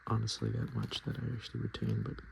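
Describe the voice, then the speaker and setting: A man with a fairly low voice speaks softly in casual ASMR commentary, slipping often into a whisper. His voice is heavily creaky, and he murmurs in a halting, hesitant way. There is clear noise in the recording. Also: monotone. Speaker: ASMR video host. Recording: noticeable background noise.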